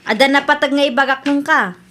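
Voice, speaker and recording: A woman acting a shrill, fed-up Ilocano wife. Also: a little fast, a bit bright. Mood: indignant. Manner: complaining, exclamatory. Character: fed-up, accusing wife robbed by a neighbour. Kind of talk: Ilocano comedy radio drama acting.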